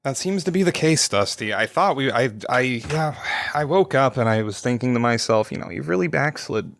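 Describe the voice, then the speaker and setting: A man's voice: medium pitch, lively intonation, moderate pace, creaky, fairly bright tone. A man playing a hungover, troubled male getaway driver in a tabletop game, talking casually and supportively with some rambling.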